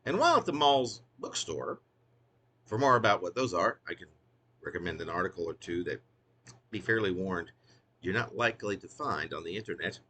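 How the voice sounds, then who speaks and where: A man's voice: low voice, animated, normal speed, bright, forceful tone, a little hiss or hum. A man who is a poet reading his own wry, literary work in a theatrical, emphatic way, drawing out phrases.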